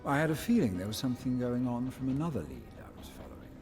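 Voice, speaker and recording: A shrewd male schemer from a sci-fi game, played by an actor. His mid-range voice moves in wide, insinuating swings. He is measured and wry, with music playing behind him. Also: mid-range voice, expressive, close to an everyday voice. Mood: calm, calculating. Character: smooth, shrewd male schemer offering bounty deals. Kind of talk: video game cutscene acting.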